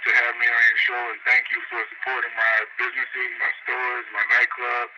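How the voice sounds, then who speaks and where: A man's voice: mid-range voice, completely flat delivery, a little fast, muffled recording, noisy background. A man guesting on a radio show, talking about his businesses in a laid-back, rambling way full of fillers. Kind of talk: casual radio interview.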